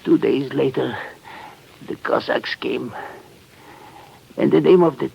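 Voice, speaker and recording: An actor playing a broken, half-mad prisoner in an old radio drama, halting and bitterly obsessed. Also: male voice, medium pitch. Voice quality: very creaky, gravelly. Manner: slow, halting, muttering then rising in intensity. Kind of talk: 1940s radio drama acting. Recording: dull, noisy old radio recording.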